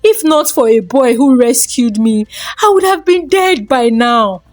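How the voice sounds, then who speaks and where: Woman acting a high-voiced betrayed young woman. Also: animated, measured pace, a little mellow, no echo, soft background music. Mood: loud accusing. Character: betrayed young woman, firm accusing survivor.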